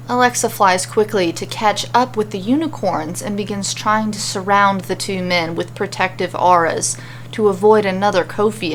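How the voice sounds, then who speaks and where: Woman narrating a fantasy story, noisy recording. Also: medium pitch. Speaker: narrator and author reading a story.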